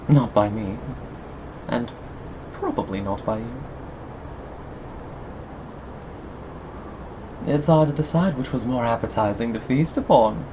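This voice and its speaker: A performer of unclear sex playing a sadistic, possessive cannibal captor in an ASMR roleplay, lingering on words with mock tenderness and soft menace. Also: medium pitch, noisy background, lightly acted. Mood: gloating. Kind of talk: ASMR roleplay, scripted monologue to listener.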